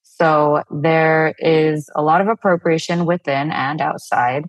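A woman with Telugu as her mother tongue answers as a guest in a casual interview. Her voice sits low, and the sound is dry with very little room around it. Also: fairly even intonation, slow, dry recording, poor audio. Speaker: guest, fashion brand founder.

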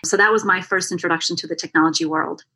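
A woman's voice: fairly low pitch, quick pace, bright, forceful tone, breathy edge. A woman of about forty, originally from Bulgaria and raised partly in America, giving earnest, articulate explanations as an interview guest on a remote call. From born in Bulgaria, in America from age twelve. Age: late 30s to early 40s. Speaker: interview guest, consultant and author. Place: remote call over a screen.

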